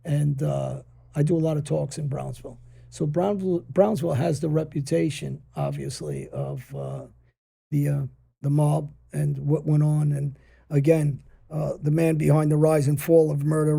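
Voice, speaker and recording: A man's voice: fairly high pitch, moderate pace, creaky, dark tone, slightly rough. A man hosting a casual interview, rambling with many fillers. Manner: rambling, many "uh"s and "you know"s. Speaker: podcast host.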